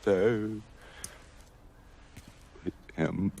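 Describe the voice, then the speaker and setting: A man's voice: low voice, very slow, creaky, warm tone, highs cut off, slight background noise, exaggerated voice. An actor playing a solemn man reciting mournful verse in a video game, drawing out the lines. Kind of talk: poetic recitation in a game cutscene.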